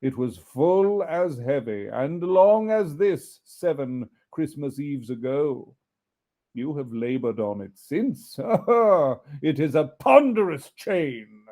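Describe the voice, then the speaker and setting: A man voicing the mournful, grave ghost of a dead businessman in a storybook reading, declaiming slowly and solemnly with drawn-out words. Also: a little high, sing-song, dark tone, full character voice. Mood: grave, menacing.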